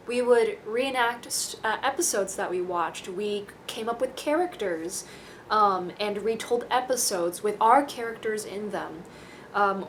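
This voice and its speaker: A woman's voice: medium pitch, a little hiss or hum, low-quality recording. A young woman from Taiwan talks casually to camera in a rambling, self-deprecating, dryly joking way. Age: young adult. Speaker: vlogger.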